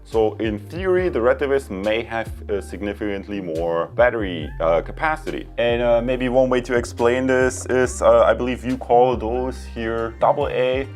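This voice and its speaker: A man's voice: mid-range voice, moderate pace, hardly any pauses, darkish tone, faint music behind. A man giving a casual, unscripted product review in a rambling, conversational way.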